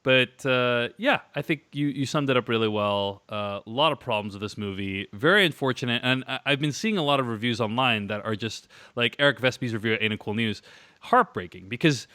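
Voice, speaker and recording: Male podcast host, medium pitch, bright forceful voice, loose casual film talk, recorded dry. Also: expressive, a little fast, no echo. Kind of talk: casual film review discussion.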